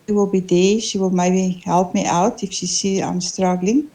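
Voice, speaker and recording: A middle-aged South African woman whose first language is Afrikaans, co-hosting an internet radio chat and pausing to search for words. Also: on the low side, steady tone, dark tone. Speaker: co-host.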